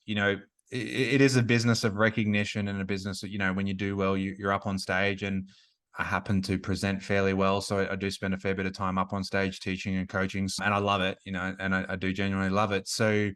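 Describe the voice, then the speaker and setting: A talkative man in his forties with a lowish voice. He speaks in a loose, conversational way, full of "like," restarts and asides, as he explains his business as a coach. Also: completely flat delivery, fast talker, a bit bright, smooth. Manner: chatty, frequent "like" and restarts. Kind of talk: casual interview conversation.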